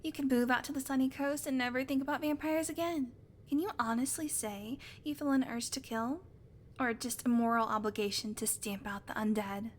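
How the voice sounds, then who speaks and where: A woman's voice: high voice, fairly even intonation, fairly quick, a little hiss or hum, natural voice. A woman playing a sarcastic, teasing vampire who swears casually, in a conversational audio roleplay. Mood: defensive, challenging. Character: sardonic female vampire, unrepentant predator, teasing. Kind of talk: audio roleplay acting, conversational monologue to listener.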